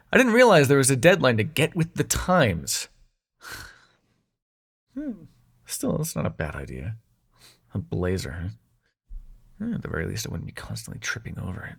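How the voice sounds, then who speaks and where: Man playing a gravelly, sardonic young demon prince. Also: mid-range voice, exaggerated voice. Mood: playful, sardonic. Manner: sardonic, teasing, hesitant when showing affection. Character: snarky young demon prince of nightmares, secretly soft-hearted. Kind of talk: intimate audio roleplay addressed to the listener.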